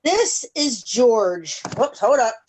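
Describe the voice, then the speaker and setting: A woman reading a picture book aloud to young children on a video call, pausing to check in with them using simple questions. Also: a little high, very animated, darkish tone, a little hiss or hum. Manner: pauses to check in with young listeners.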